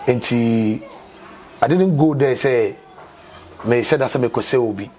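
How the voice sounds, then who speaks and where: A man's voice: medium pitch, measured pace, darkish tone. A Ghanaian-accented man, around his thirties, speaking emphatically as an interview guest and often repeating himself. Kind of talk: animated conversational interview, switching between two languages. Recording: clear background noise, ambient sound.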